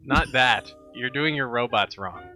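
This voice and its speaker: A man's voice: medium pitch, unhurried, sharp tone. A man co-hosting a comedy podcast, bantering with dry, mock-serious sarcasm. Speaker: podcast co-host. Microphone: studio microphone. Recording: slightly dull sound with faint music.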